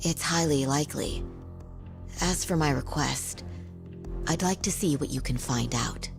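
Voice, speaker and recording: A woman playing a serious, composed defense attorney with a measured, businesslike manner in a video game cutscene. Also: low-pitched, creaky. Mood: analytical. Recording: game music under the voice. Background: music.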